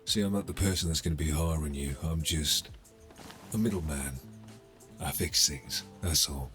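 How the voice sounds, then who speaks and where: A man's voice: extremely low pitch, normal speed, fairly bright tone, soft background music. A man playing a cocky, sardonic criminal middleman in a cyberpunk ASMR roleplay, casual and streetwise, teasing as he talks. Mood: businesslike, streetwise.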